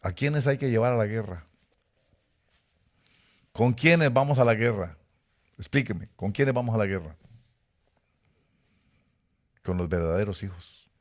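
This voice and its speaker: A man's voice: unhurried, pauses often. A man from Costa Rica with a Guatemalan Spanish accent, preaching a sermon and telling personal stories. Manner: animated storytelling with sudden rises in pitch and volume.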